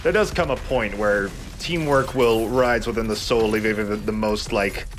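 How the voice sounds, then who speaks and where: A man's voice: lowish voice, normal speed, slight background noise. A man co-hosting a let's play with casual, informal commentary, explaining things and joking along. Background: game music.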